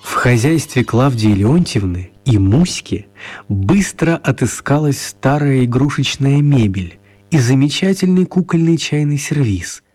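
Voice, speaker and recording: Husky male children's storyteller. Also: medium pitch, expressive, a little slow, a little mellow. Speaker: storyteller, narrator. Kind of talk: scripted narration of a children's radio play.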